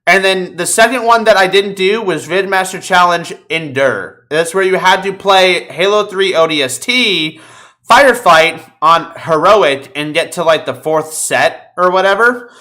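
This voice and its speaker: A man with a fairly high, bright and forceful voice hosts a casual gaming chat. He tells stories in an animated way and swears freely as he goes. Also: measured pace. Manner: profane, animated storytelling. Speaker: podcast host. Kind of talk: casual chat about video games.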